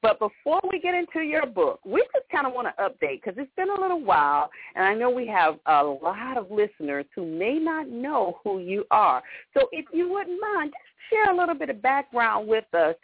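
A woman's voice: on the high side, sing-song, moderate pace, breathy edge, dry recording. A woman hosting a radio interview show, gushing with enthusiasm and praise as she welcomes her guest. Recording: tinny phone-line sound.

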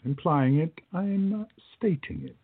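A man playing a courtly, resolute time-travelling gentleman in a radio play, with formal, emphatic speech. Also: on the high side, huge pitch swings, moderate pace, husky, no echo, narrow, tinny audio, exaggerated voice. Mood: stern, warning.

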